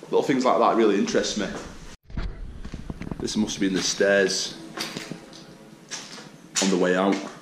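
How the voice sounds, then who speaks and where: A man's voice: on the low side, fairly even intonation, unhurried, pauses often, a little hiss or hum, poor audio. A young man, walking through an empty old building and narrating casually with awe, recorded on a handheld camera. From England. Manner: chatty, awed, points things out. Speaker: urban explorer. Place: large empty indoor building. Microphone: handheld action camera.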